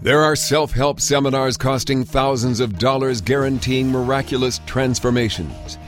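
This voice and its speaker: A man reading a scripted radio advertisement in a smooth, measured, persuasive tone. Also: mid-range voice, music in the background. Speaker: ad announcer.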